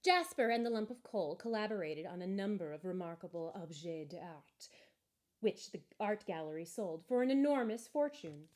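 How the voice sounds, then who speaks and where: A woman narrating a children's story expressively, with measured, deliberate emphasis. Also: medium pitch, animated, darkish tone. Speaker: story reader.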